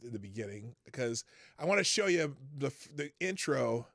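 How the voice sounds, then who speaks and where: A man hosting a live talk stream from a studio, chatting casually in a rambling, animated way that repeats phrases and drops the odd swear word. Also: medium pitch, moderate pace, gravelly, no room sound. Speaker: livestream talk show host. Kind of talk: casual live-show chat. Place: home studio. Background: faint music.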